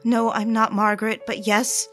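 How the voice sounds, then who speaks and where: A woman playing a brooding, isolated wife in an audio drama monologue, halting and pensive, unsure of herself. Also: a little high, level tone, a bit bright, faint music behind, close to an everyday voice. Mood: brooding, uneasy. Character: brooding, isolated married woman, growing obsessed and uncertain.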